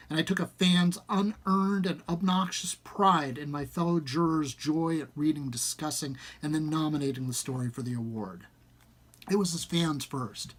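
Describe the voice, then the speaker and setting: A man presenting a book like an enthusiast publisher, part casual pitch and part reading aloud, with expressive, emphatic delivery. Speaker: small-press horror and fantasy publisher presenting a book.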